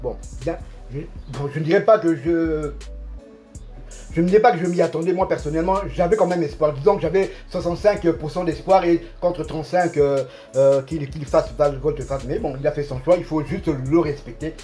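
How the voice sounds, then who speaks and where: A man with a Congolese French accent talking football as a pundit in an animated, casual way, emphatic and repeating his phrases. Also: a little high, fairly quick, soft background music. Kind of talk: animated casual sports discussion.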